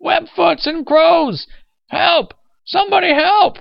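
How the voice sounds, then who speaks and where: A frail, hateful old war veteran from an audiobook story. The voice is pushed well above a normal male pitch, wheezy and indignant, snapping and complaining. The recording is dry and a little muffled. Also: takes it slowly, vocal fry, no room sound, exaggerated voice. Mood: screaming rage. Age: elderly. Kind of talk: audiobook character acting.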